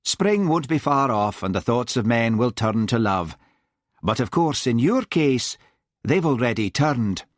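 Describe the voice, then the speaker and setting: A man voicing a lazy but shrewd policeman with a Scottish Highland accent, drawling and quietly threatening. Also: very animated, a little slow, dry recording, studio quality. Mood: lazy mocking.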